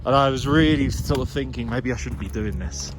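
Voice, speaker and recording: A man with a nasal voice, chatting in an awed, thinking-aloud way as he vlogs a solo climb outdoors high on a snowy mountain. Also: brisk. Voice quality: nasal, from ski goggles. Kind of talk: casual vlog commentary. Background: music.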